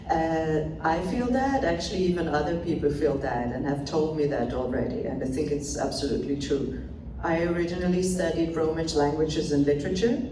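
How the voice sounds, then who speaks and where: A woman, speaking quickly and lightly as a panelist at a live event, often laughing between points. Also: deep voice. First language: German. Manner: lively, quick, laughing asides. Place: event hall with audience. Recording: roomy hall, far off, crowd noise.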